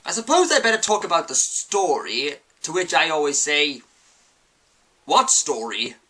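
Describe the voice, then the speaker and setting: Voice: mid-range voice, very animated, measured pace, heavy background noise. A reviewer giving semi-scripted commentary on a series. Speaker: video reviewer.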